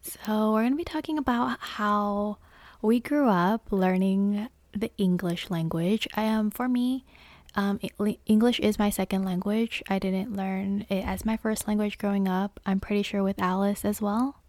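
A young woman co-hosting a casual chat podcast, relaxed and agreeable, laughing easily. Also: medium pitch, measured pace, no room sound. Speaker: podcast co-host.

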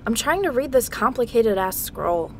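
A woman's voice: a little high, sing-song, slight background noise. A woman playing an irritable, skeptical adventurer heroine in a comedic video game parody, exasperated and sarcastic. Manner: exasperated, drawn-out sarcastic complaining.

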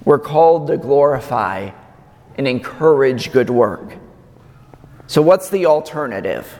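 Slow-preaching man, slightly raspy. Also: medium pitch, animated, darkish tone. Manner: slow, measured preaching with emphatic pauses. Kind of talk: sermon. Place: large church. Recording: long church reverb.

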